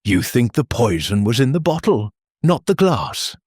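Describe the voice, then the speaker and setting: Brief: wry matter-of-fact inspector, read by a man, husky, dry close sound. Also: medium pitch, very animated, unhurried, darkish tone, no echo.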